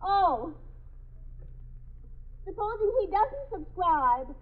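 A woman's voice: extremely high pitch, sing-song, very slow, full character voice. An actress playing an anxious, insistent woman who is locked in, calling out and explaining herself in 1950s radio drama style. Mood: worried. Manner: calling out loudly, chattering explanations. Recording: muffled phone-like 1950s radio recording.

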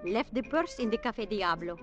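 An actress playing a doting, scolding Cuban café owner, emotional, in an old radio drama. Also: female voice, mid-range voice, animated, a little fast, natural voice. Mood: confiding. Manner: emotional, fussing. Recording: muffled vintage radio recording, faint music.

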